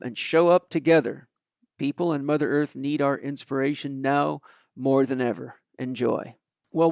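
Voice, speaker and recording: A man's voice: a little high, vocal fry, no echo, studio quality. A middle-aged man hosting a podcast from a house, enthusiastic and chatty, with lots of fillers. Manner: enthusiastic, uses many fillers. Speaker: podcast host. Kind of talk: conversational podcast interview.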